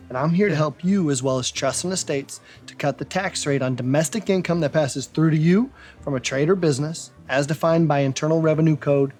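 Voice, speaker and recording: A smooth-talking old-time gentleman, as a man voices him, with a slightly raised voice. He keeps up a breezy, old-fashioned patter over faint background music. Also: on the high side, natural voice. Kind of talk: comedic noir skit acting.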